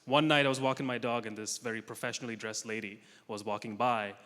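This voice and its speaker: A young man from Russia performing a stand-up comedy set, delivering dry, self-mocking punchlines with quick asides. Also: medium pitch, steady tone, a bit bright. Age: young adult. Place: large comedy festival hall with audience. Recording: live hall recording with long reverb.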